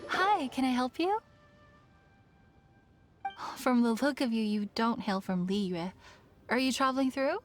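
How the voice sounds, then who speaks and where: A woman's voice: fairly high pitch, animated, a little slow, pauses often, faint music behind. A woman playing an easygoing, helpful and modest festival organiser in a video game, welcoming and conversational.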